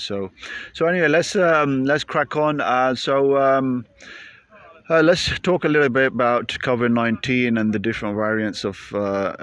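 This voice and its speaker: A British man hosts a podcast outdoors, talking casually and hesitating often. His voice sits fairly low. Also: creaky. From Britain. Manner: hesitant, full of fillers. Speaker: podcast host. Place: outdoors in a busy public spot.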